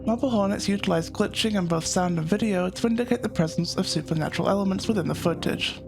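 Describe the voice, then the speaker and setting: A man narrating a scripted analytical video essay as its host, in a measured, explanatory manner. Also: high-pitched, creaky, music in the background.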